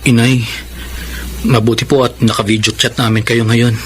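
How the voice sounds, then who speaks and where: An actor playing an earnest, protective young son in a Filipino radio drama, speaking emphatically. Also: male voice, medium pitch, level tone, moderate pace, heavy background noise, close to an everyday voice. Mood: concerned.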